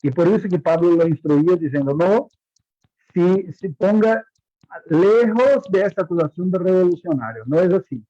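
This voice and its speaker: Man teaching haltingly in a second language. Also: high-pitched, lively intonation, dark tone, dry recording. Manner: halting, repeats words, asks students questions; speaks Spanish as a second language. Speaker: Bible course teacher. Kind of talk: online class lecture. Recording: muffled lo-fi audio.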